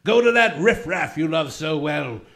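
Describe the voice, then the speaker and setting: Man as scornful old banker, cackling, highish voice, radio play. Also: lively intonation, measured pace, creaky, fairly bright tone, full character voice. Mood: gloating. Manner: mocking, cackling, contemptuous. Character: greedy, sneering old male banker. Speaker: community theatre actor. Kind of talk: amateur radio play acting.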